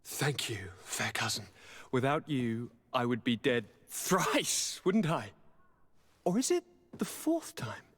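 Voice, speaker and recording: A husky, animated young nobleman. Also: medium pitch, slow, heavily acted. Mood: wry, affectionate. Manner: theatrical, wry, courtly, sighing. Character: young highborn gentleman, cheeky, blithe, affectionate cousin. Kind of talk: dramatic fantasy game acting.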